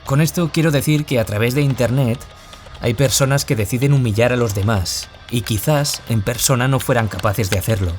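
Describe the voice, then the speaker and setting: A man's voice: medium pitch, fairly quick, natural voice. Actor as earnest Spanish male author over music. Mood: reflective, serious. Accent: Peninsular Spanish. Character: earnest adult male author, a survivor of school bullying. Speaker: interview guest and author. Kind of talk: scripted radio interview acting.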